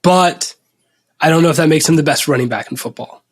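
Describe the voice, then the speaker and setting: A man's voice: fairly high pitch, a little fast. A young man hosting a casual sports podcast, upbeat and joking as he runs the show. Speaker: podcast host.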